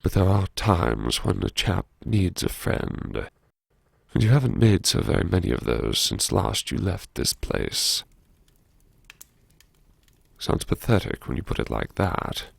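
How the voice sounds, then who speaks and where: Gravelly, low, brooding man acting a wry academic. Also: very animated, no echo, full character voice. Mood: wry, fond. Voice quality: gravelly, creaky. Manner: sardonic, musing, self-reproachful, with sighs and pauses. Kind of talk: first-person audiobook monologue, intimate dramatic narration.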